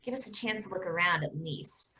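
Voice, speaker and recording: A woman's voice: on the low side, fairly quick, sharp tone, roomy sound, low-quality recording. A woman playing a spirited, exasperated young woman who protects her friends, in a staged reading of a comedy. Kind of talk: staged reading of a comic play.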